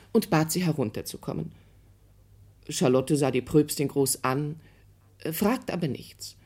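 A storyteller among friends. The voice is husky, mid-pitched and very animated, narrating expressively and without hurry in a radio drama. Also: female voice.

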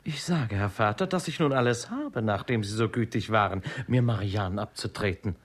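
Defiant young lover for radio drama, husky, very expressive, ardent. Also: male voice, fairly low pitch, normal speed. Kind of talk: theatrical radio play dialogue.

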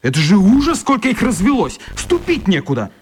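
A man's voice: high-pitched, huge pitch swings, brisk, breathy edge, heavily acted. An actor playing a smarmy, pompous man who hates stray cats in a children's radio play, speaking in a florid, ingratiating, theatrical way. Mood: unctuous, indignant.

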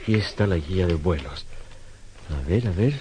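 A man's voice: deep voice, lively intonation, moderate pace, background noise. A man acting in a radio drama as a proud, obsessive, self-doubting sculptor, with a theatrical delivery. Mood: urgent, decided.

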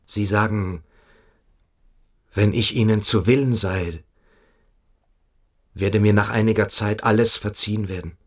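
An actor playing a submissive, evasive boarding-school pupil in a German radio play, hesitant and coquettish. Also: male voice, on the low side, fairly even intonation, unhurried, long pauses. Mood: defensive, anxious.

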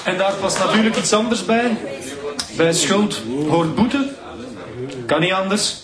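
An actor with a Flemish accent playing a bitter, volatile stand-up comedian with a taunting, theatrical delivery in a radio drama. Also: male voice, sits high, unhurried, crowd noise. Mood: sardonic, confessional. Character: bitter, volatile comedian and confessed killer, brother. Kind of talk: radio drama acting, stage monologue. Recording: roomy club hall, loud audience noise.

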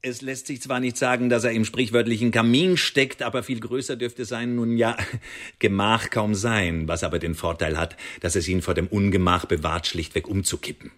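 An actor playing a smooth, menacing French secret police agent in a radio drama, speaking in a stilted, mock-polite way. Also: male voice, lowish voice, steady tone, moderate pace, fairly bright tone, natural voice. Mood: taunting.

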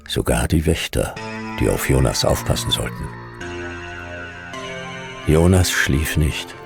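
An actor playing a world-weary, sardonic detective, narrating a radio drama in dry, deadpan short sentences. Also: male voice, very deep voice, slow pace, vocal fry, over music, full character voice.

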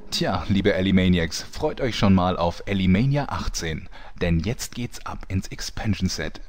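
Deep wry narrator man. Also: animated, normal speed. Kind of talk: scripted comedy narration.

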